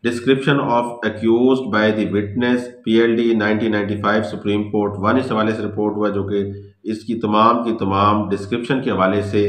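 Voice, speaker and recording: A man's voice: lowish voice, flat delivery, moderate pace. A man with a Pakistani accent giving an explanatory law lecture, reading out points in English and then explaining them didactically. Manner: didactic, switches between English phrases and explanations. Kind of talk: explanatory lecture mixing read-out points and commentary. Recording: echoey room.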